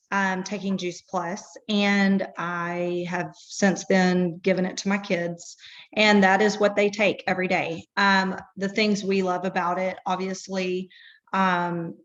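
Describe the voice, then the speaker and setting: Woman, 30s, hoarse, losing her voice, slow and halting, frequent um fillers, dull lo-fi sound. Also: mid-range voice, a bit bright. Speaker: product distributor. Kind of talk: personal testimonial. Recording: dull lo-fi recording.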